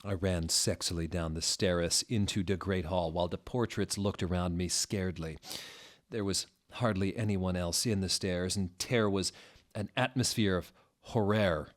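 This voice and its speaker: A man's voice: sits low, steady tone, moderate pace. A man narrating a story as a dramatic, comedic reading.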